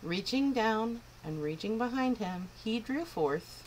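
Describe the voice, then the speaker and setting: A woman reading a picture book aloud to children in an expressive, lilting way. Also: fairly high pitch, measured pace, a little hiss or hum, rough recording. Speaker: children's storyteller. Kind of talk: storybook read-aloud.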